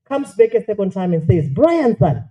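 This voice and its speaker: A man's voice: sits high, quick pace. A man with a Zimbabwean accent speaking as master of ceremonies, telling stories informally and playfully to a formal gathering. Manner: playful, animated storytelling. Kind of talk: informal public speaking, humorous anecdote. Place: hotel function room at a formal event.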